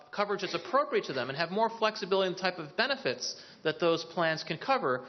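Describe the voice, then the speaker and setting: A man's voice: on the high side, highs cut off. A man presenting a policy proposal at a briefing, explaining points step by step and answering his own questions, with a few restarts. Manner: explanatory, poses questions then answers them; occasional restarts and filler pauses. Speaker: policy speaker on a briefing panel. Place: briefing room.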